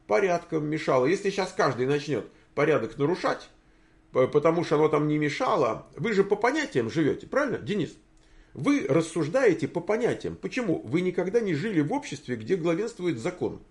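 A man in his sixties giving an informal, argumentative commentary, posing rhetorical questions and stammering through halting restarts. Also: on the high side. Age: 60s. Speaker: streamer answering viewer comments.

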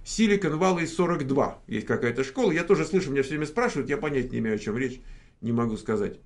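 A man's voice: mid-range voice, quick pace. A man hosting a casual live question-and-answer stream, joking and rambling with many fillers. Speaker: host.

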